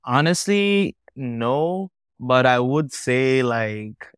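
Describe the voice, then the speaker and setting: A man's voice: mid-range voice, animated, very slow, clean tone, no echo, studio quality. A young man from Tanzania, a founder talking casually as a podcast guest, often saying "like" and "you know". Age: young adult. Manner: casual, lots of likes and you-knows.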